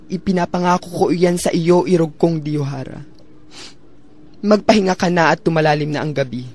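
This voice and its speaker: Casting: actor as devout noble family man, slightly high register, earnest formal declamation for radio drama. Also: normal speed, a little mellow, lightly acted. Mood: reassuring. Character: pious, devoted husband and father, a datu. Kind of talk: melodramatic radio-drama acting.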